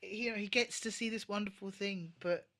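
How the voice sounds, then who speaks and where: A man's voice: sits high, steady tone, moderate pace, bright, forceful tone. A man co-hosting a casual podcast chat, emotive and emphatic, with a sweary streak. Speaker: podcast co-host.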